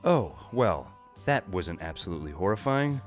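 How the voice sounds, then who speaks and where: A man's voice: deep voice, big pitch swings, normal speed. A man voicing a sarcastic, defiant sixteen-year-old girl in a dramatized comic recap, flippant and quippy. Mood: dry sarcasm. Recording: music bed behind the voice.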